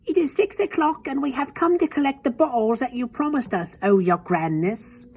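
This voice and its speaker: A woman's voice: high-pitched, expressive, normal speed, mellow, breathy edge, pitched above the performer's own voice, exaggerated voice. A woman voicing a group of timid, fawning old witches speaking meekly. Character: hesitant, meek, old female witches.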